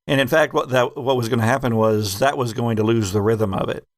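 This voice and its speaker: A man's voice: medium pitch, fairly quick, no echo, clean studio sound. A man who runs a game, chatting casually and reflectively with his group. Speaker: podcast game master.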